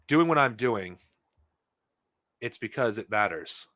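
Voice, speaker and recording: A man hosting a podcast, talking off the cuff to his viewers in a heated, profane, rambling way, his voice getting worn and hoarse. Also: on the low side, expressive, takes it slowly, fairly bright tone, dry recording, studio quality. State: losing his voice. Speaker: podcast host. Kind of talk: unscripted monologue to viewers.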